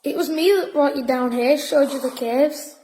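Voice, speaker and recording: Very high, anxious schoolboy, played by a woman. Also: moderate pace, dark tone, slight background noise, close to an everyday voice. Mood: apologetic, worried. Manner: worried, doleful. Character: anxious, gloomy, loyal schoolboy. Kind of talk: audio drama acting.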